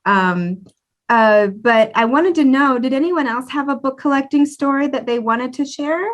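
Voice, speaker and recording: A woman's voice: sits high, moderate pace. A woman speaking casually and conversationally as the host of a museum program. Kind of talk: casual conversational hosting and storytelling.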